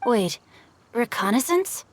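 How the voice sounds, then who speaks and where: Husky, refined bookish boy. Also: on the high side, big pitch swings, slow, full character voice. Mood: baffled. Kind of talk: video game voice acting.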